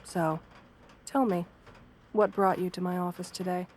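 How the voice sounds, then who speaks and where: A woman voicing a sweet, professional wolf-girl therapist in a soft-spoken ASMR roleplay, speaking in a gentle, measured, reassuring way. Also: on the low side, steady tone, a little hiss or hum, natural voice. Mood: polite, welcoming.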